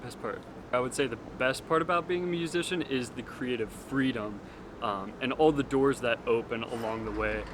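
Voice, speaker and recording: A man's voice: mid-range voice, moderate pace. A young man of twenty-one, a music student, answering an interview in an earnest, self-reflective way. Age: 20s. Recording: outdoor street noise.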